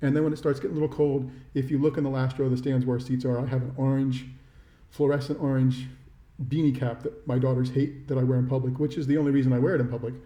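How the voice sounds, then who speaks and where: A man's voice: mid-range voice, level tone, quick pace, warm tone. A middle-aged American man co-hosting a podcast, chatting casually about sports in a wry, self-deprecating, rambling way, with a roomy echo. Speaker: podcast co-host. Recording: roomy, echoey sound.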